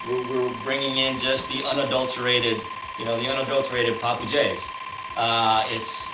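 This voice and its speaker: A man presents a product casually on a live stream. He speaks haltingly and informally, repeating words as he goes, in a medium-pitched voice. The sound is roomy, with obvious noise behind him. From born in the Philippines, grew up in the US. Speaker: guest business founder demonstrating a product.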